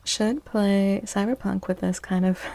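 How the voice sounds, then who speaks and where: A woman speaks softly and close to the microphone as she hosts an ASMR livestream. Her voice is mellow with a creaky fry, and she takes her time. The delivery is casual and chatty, like talk addressed to a chat room of viewers. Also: mid-range voice, lively intonation. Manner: chatty, unhurried, greets viewers by name. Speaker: live streamer hosting ASMR tarot stream.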